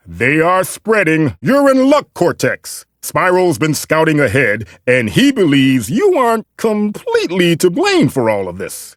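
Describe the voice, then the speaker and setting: Fussy, high-voiced mystical guide. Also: male voice, dry recording, clean studio sound. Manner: formal, dramatic, earnest. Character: fussy, theatrical mystical male guide in cartoon game. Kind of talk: video game voice lines.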